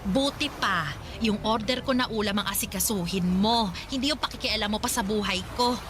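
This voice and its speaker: A woman's voice: mid-range voice, normal speed, noisy background, lightly acted. A woman playing a shameless, vain, flirty middle-aged mother with a Filipino accent in a radio drama, coquettish and dramatic. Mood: brazen, defensive. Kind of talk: Tagalog radio drama acting.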